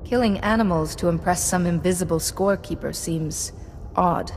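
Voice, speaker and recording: A woman playing a self-possessed young Jedi apprentice in a video game, speaking in a measured, firm way. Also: lowish voice, darkish tone, natural voice. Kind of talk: video game dialogue acting. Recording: echoey hall, music behind.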